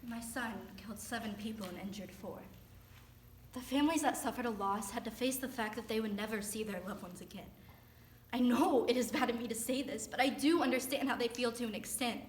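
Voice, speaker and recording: A woman's voice: fairly high pitch, a little fast, close to an everyday voice. A woman acting a grieving, solemn mother in an anguished readers theater monologue. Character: grieving mother of a school shooter. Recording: echoey room, distant mic, faint noise.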